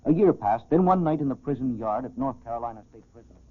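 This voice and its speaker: An actor playing a formal police chief who narrates a case in a scripted 1940s radio style. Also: male voice, mid-range voice, animated, fast, natural voice. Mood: measured. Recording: tinny, band-limited 1940s radio recording.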